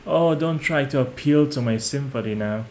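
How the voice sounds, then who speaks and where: A man acts out a young crime-family heir in an intimate audio drama. His mid-pitched voice is courteous and measured, with a condescending, calculating edge. The room echoes around him, and faint noise sits behind the words. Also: darkish tone, natural voice. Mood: mocking, gloating. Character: young mafia heir, falsely naive and polite, coldly manipulative. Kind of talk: scripted audio roleplay addressed to the listener. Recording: roomy echo, some background noise.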